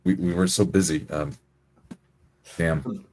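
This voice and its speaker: A man improvising first-person narration as a tabletop roleplay player, speaking in a halting, hesitant way. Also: low voice, fairly even intonation, darkish tone.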